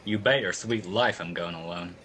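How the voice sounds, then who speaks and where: A man's voice: low voice, moderate pace, pushed and bright, slight background noise. An actor playing a wry, tough-talking private detective who narrates his cases in a 1950s radio drama style. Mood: playful teasing. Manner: slangy, wry, clipped tough talk.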